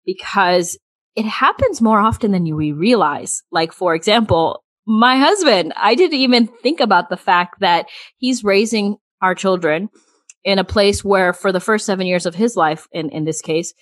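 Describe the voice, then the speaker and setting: A woman's voice: medium pitch, normal speed, dry recording. Chatty woman podcast co-host, dry sound. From United States. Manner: animated, chatty, laughs often. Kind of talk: casual chat.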